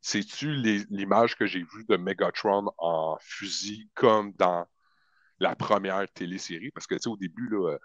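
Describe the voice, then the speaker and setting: A man's voice: low-pitched, unhurried, fairly bright tone, no echo, highs cut off. A man with a Quebec French accent chatting casually as a panelist, colloquial and dropping in English phrases. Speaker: talk show panelist. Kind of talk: casual group chat.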